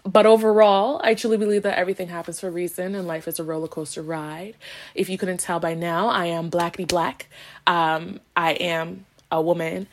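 A woman's voice: fairly low pitch, fairly even intonation, brisk. A woman in her late twenties from Canada, co-hosting a podcast in a casual, playful, sassy conversational style full of slangy asides and repeats. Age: late 20s. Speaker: podcast co-host. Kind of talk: casual self-introduction, conversational podcast talk.

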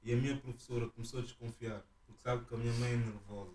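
Fast young Portuguese guy in a roomy space. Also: medium pitch, far from the mic. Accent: European Portuguese. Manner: animated, slangy. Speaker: guest. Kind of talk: casual chat. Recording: roomy, a bit off mic.

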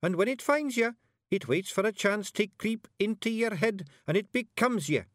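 A man voicing a tiny, earnest young Scottish fairy bard, explaining haltingly as in a storybook. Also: high-pitched, animated, fairly bright tone, no echo, studio quality, pitched above the performer's own voice. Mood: grave, explaining.

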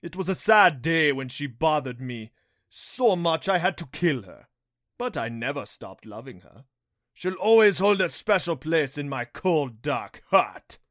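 A man voicing a cruel fanged beast in a fantasy audiobook, sneering and taunting with theatrical, drawn-out mock sorrow. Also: fairly high pitch, expressive, a bit bright, no room sound, studio quality, pitched above the performer's own voice. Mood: mock regret. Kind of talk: audiobook character voice for a fantasy story.

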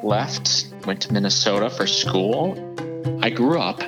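A man's voice: fairly low pitch, animated, slow pace. A man from Wisconsin speaking plainly and candidly about his upbringing. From Wisconsin, United States. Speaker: interviewee. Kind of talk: personal storytelling. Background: music underneath.

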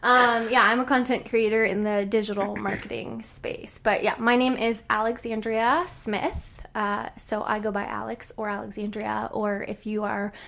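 A woman's voice: medium pitch, little pitch movement, moderate pace, creaky, hardly any pauses, a bit bright, slight background noise. A woman in her thirties from Nova Scotia, co-hosting a podcast in a playful, teasing way and laughing often. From Nova Scotia, Canada. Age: 30s. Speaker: podcast co-host. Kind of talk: casual chat. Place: studio room. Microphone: large studio microphone.

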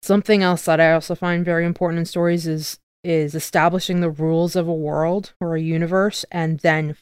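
A woman with a notably low, smooth voice talks casually, as a podcast co-host would. She works out her thoughts aloud and corrects herself along the way. The sound is close and very dry. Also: fairly even intonation, fairly quick, no echo. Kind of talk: casual conversation.

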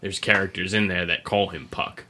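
A man hosting a podcast, explaining video game lore in a casual, rambling, sweary way, with a gravelly, husky voice. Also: sits low, fairly even intonation, normal speed, bright, forceful tone. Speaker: podcast host.

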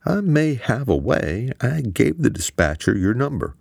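A man's voice: mid-range voice, very animated, measured pace, creaky, darkish tone, breathy edge, no echo, clean studio sound, voice pushed up, heavily acted. A man voicing a laid-back young male hacker with a casual, slightly childlike way of talking.

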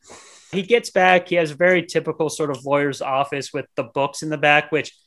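Higher-pitched man, slangy podcast co-host. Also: a little fast, fairly bright tone. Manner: profane, slangy, rambling retelling. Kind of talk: casual movie review banter.

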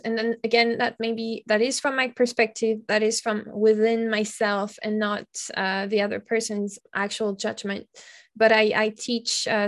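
Woman, higher voice, thinking out loud, restarts a lot. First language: French. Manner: reflective, searching for words. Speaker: secondary school teacher, guest. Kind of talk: conversational podcast interview.

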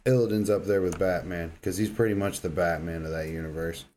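A man's voice: low-pitched, steady tone, brisk, dark tone, slight background noise. A man co-hosting a casual gaming podcast, bantering in informal slang with emphatic agreement. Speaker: podcast co-host.

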